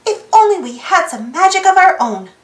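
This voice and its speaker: A woman's voice: very high-pitched, sing-song, normal speed, roomy sound, pitched above the performer's own voice. A woman voicing an earnest, cheerful and caring little girl in a children's storybook. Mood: worried. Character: excitable, high-voiced little girl Annie, cheerful and caring.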